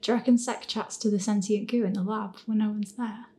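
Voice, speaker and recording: A woman's voice: medium pitch, fairly even intonation, brisk, mellow. A woman hosting a casual, chatty question and answer session about her audio fiction, in a giggly, self-deprecating way. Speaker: host and audio fiction creator. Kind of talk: casual Q&A chat.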